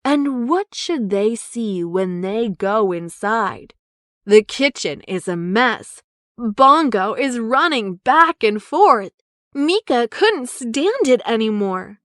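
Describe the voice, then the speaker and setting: A woman narrating a children's story aloud in an expressive, deliberate storytelling manner. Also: on the high side, no room sound, clean studio sound.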